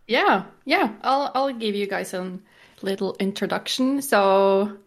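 A woman's voice: medium pitch, expressive, takes it slowly. A woman in her twenties with a Norwegian accent, chatting casually as a podcast guest. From Norway. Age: 20s. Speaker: podcast guest, game streamer. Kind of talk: casual chat.

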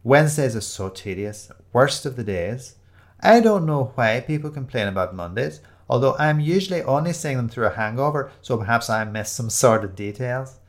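A man's voice: mid-range voice, expressive, normal speed, natural voice. A man voicing a cheerful, chatty, hungover bohemian woman in a breezy manner.

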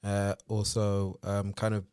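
A man's voice: lowish voice, completely flat delivery, unhurried, darkish tone, clean tone, dry recording, clean studio sound. A young man co-hosting a podcast, talking casually with plenty of hesitant fillers. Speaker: podcast co-host. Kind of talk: casual chat.

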